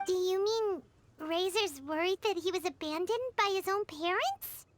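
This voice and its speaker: A woman's voice: very high voice, expressive, moderate pace, slight background noise. A woman voicing a small, cheerful, talkative childlike fairy companion in a video game. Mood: earnest. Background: music.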